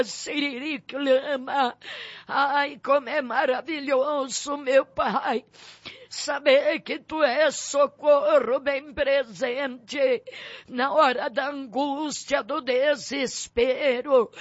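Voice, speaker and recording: A woman's voice: high voice, a bit bright, dry recording. A woman leading a fervent spoken prayer, pleading with repeated invocations, her voice often breaking into sobs. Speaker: prayer leader. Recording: dull dry lo-fi recording.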